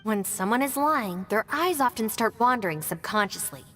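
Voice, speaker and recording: Composed young deputy, reverberant. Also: female voice, fairly high pitch, expressive, fairly bright tone, soft background music. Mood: calm, assuring. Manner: measured, polite, matter-of-fact. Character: composed, shrewd young female law graduate, dutiful deputy. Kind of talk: video game voice acting.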